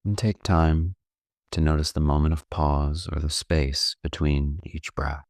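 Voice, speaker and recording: Male meditation guide, extremely deep voice, dry studio-like sound, calm and gently instructive, taking his time between phrases. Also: no echo. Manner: calm, unhurried, gently instructive with long pauses. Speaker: meditation coach.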